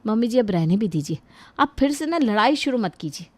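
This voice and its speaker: A woman doing a sharp-tongued young daughter-in-law. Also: a little high, a little fast, no room sound. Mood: snappy. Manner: snappy, scornful, lively.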